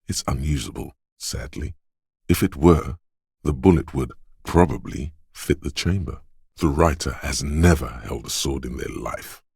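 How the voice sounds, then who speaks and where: A man voicing a detective's gun-obsessed inner voice in a video game, speaking in a measured, deliberate, weighty way. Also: darkish tone, no room sound, clean studio sound, heavily acted. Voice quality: very deep, husky.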